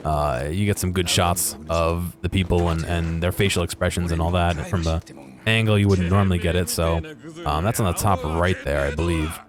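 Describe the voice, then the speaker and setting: A man's voice: deep voice, steady tone, normal speed, crowd noise. A man giving casual, unscripted commentary on game footage, thinking aloud in a hesitant, musing way. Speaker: video creator narrating game footage.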